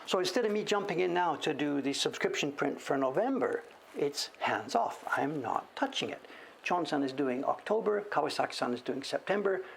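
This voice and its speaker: Man, 60s, gravelly, rambling, self-correcting, casual livestream talk. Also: on the high side, animated, normal speed, slight background noise. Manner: rambling, self-correcting, talks about himself in third person. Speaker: woodblock printmaker streaming his work.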